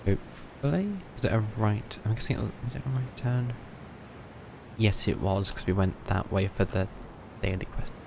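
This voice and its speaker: A man's voice: fairly low pitch, moderate pace, vocal fry, noisy background. A British man giving casual live game commentary, muttering his thoughts aloud with dry understatement. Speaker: game livestreamer. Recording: game music behind the voice. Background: video game music.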